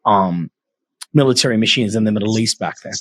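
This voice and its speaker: Brief: co-host, thirties, from Iraq, earnest and personal, dry mic. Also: male voice, on the low side, brisk, a bit bright, no echo. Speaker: podcast co-host. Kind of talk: casual podcast interview.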